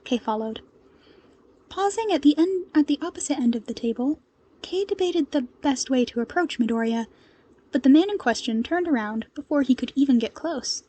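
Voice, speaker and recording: A woman's voice: sits high, animated, normal speed, warm tone. A woman reading scripted fiction as the narrator of an audio story. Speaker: podfic narrator.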